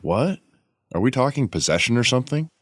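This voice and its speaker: An actor playing a skeptical, practical police officer asking questions in an audio drama. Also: male voice, mid-range voice, very animated, a little fast, fairly bright tone, dry recording, clean studio sound.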